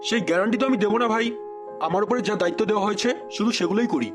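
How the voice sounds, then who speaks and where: A smug cartoon office clerk played by a man, angling for bribes with a sly, officious manner. The male voice is high and quick. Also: close to an everyday voice. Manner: sly, officious, matter-of-fact. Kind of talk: comedy cartoon dubbing. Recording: loud music behind the voice.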